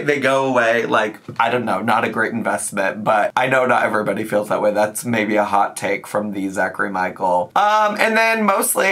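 A man in his thirties hosting a reaction video in casual, animated commentary, his voice still a bit congested. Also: medium pitch. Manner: chatty, animated, sing-song asides. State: congested, recovering voice. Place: living room with shelves.

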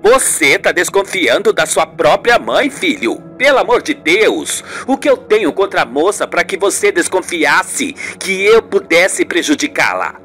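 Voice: mid-range voice, sing-song, unhurried, few pauses, fairly bright tone, faint music behind. A performer voicing a haughty, insistent older mother in a radio soap, with a melodramatic, scolding manner. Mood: offended. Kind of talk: radio soap opera acting.

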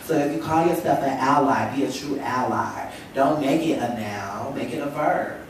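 A woman's voice: very deep voice, moderate pace, a little hiss or hum. A young woman with a stuffy cold, speaking emphatically on a panel in a lecture hall, at a table microphone. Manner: emphatic, rhetorical, lots of "you know". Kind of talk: impassioned panel talk. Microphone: table stand mic. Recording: echoey hall, mic from far off.

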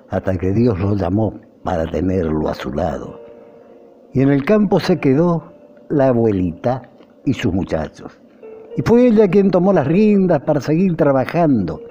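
An older Argentine man reciting a nostalgic poem in a measured, declamatory way, with phrases that rise and fall. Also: mid-range voice, mellow. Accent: Argentine Spanish. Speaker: poetry reciter. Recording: dull lo-fi recording with music. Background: music behind the voice.

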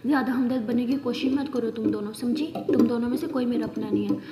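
A woman's voice: fairly high pitch, fairly even intonation, quick pace, over a noisy crowd, lightly acted. A woman voicing an arrogant, angry teenage girl who snaps at and dismisses the others. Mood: annoyed. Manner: snapping, dismissive. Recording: echoey room with long reverb, faint hiss.

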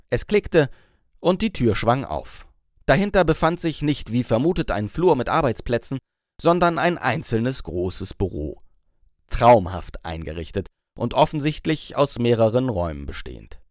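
A man narrating a scripted audiobook story in an expressive, measured way. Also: medium pitch, no room sound, studio quality.

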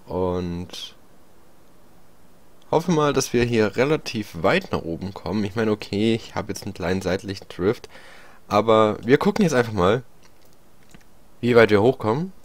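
German gamer with a slight cold. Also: male voice, lowish voice, lively intonation, moderate pace, long pauses. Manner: chatty, hesitant with many fillers. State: slight cold, clearing throat. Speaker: gaming YouTuber. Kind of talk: tutorial gameplay commentary.

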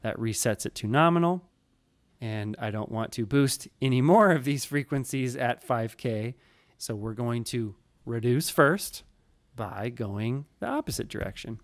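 A man in his thirties walks through studio settings in an easygoing, conversational way. His voice sits at an average pitch for a man and comes through clean on a close studio microphone in a small home studio. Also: measured pace. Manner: relaxed, explanatory, conversational. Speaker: audio engineer teaching. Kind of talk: screen-recorded tutorial. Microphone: studio mic in frame, wearing headphones.

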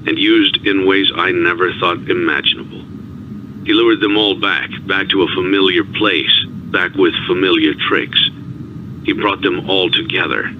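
An actor playing a grave, remorseful older inventor, speaking solemnly and deliberately in a game monologue recorded on tape. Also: male voice, sits low. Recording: dull, lo-fi tape with faint noise.

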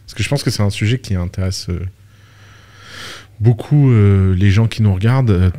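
A man interviewing a guest on a studio podcast, asking relaxed, teasing questions. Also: on the low side, moderate pace. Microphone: studio mic on boom arm, headphones.

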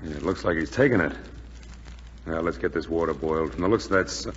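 An actor in a 1940s radio drama playing a tense, commanding bomber skipper who gives terse orders. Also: male voice, extremely low pitch, fast, husky. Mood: grim, terse. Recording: noisy, muffled 1940s radio recording.

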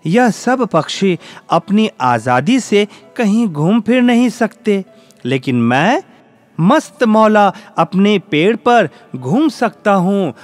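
A man voicing a curious, admiring crow in a children's fable, earnest and expressive. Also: high voice, full character voice.

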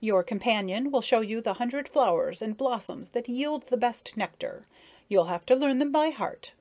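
A woman's voice: a little high, lively intonation, moderate pace, a little mellow, pitched above the performer's own voice. A woman voicing a strict old female bee teacher in a storybook, lecturing in an exasperated way. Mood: instructive, firm. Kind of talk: children's storybook character reading.

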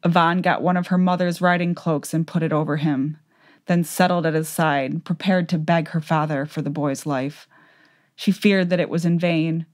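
A woman narrates a fantasy tale from a script. Her voice is low, and her delivery is measured and dramatic. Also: fairly even intonation.

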